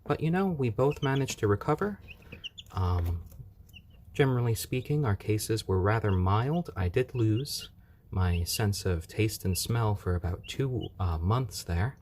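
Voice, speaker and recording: Man, live-stream host, low mellow voice with a lively swing, easygoing chat, faint hum behind. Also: measured pace. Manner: easygoing, chatty, coos over the chicks. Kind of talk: casual live-stream chat answering viewer comments.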